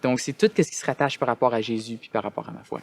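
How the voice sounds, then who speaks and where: A young man from northern Ontario with a Canadian French accent, giving an earnest personal testimonial as a student resident, often pausing on fillers and restarting his phrases. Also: mid-range voice. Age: young adult. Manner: earnest, hesitant with frequent "euh" fillers and restarts.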